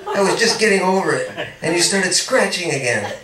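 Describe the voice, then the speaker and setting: A man's voice: sits high, lively intonation, brisk, crowd noise. A man voicing a besotted young suitor, reading a story aloud in a teasing, dreamy manner. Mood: rapturous. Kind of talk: read-aloud story dialogue. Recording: echoey room, mic at a distance.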